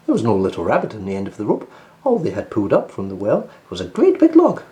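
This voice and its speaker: A man narrating a children's storybook as host, in an expressive read-aloud style. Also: on the low side, quick pace, a little hiss or hum.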